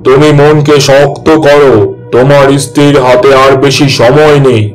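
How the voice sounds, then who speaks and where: A man playing a grave, regretful village herbal healer in a fairy-tale cartoon, making solemn, deliberate pronouncements. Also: medium pitch, level tone, lightly acted, rough recording.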